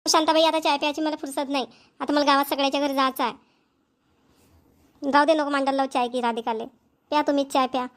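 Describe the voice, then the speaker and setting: Woman acting brisk, high-voiced village mother. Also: flat delivery, lightly acted. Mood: hurried, businesslike. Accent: Vidarbha Marathi. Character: busy, brisk middle-aged village mother. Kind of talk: amateur cartoon voice acting.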